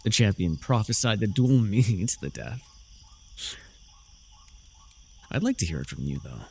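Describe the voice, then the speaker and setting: A man playing a proud, menacing, persuasive warlord villain in a fantasy audio roleplay, speaking theatrically and sardonically straight to the listener, moving between soft coaxing and forceful declamation. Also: fairly low pitch, sing-song, a little fast, vocal fry, a little hiss or hum. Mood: quietly taunting.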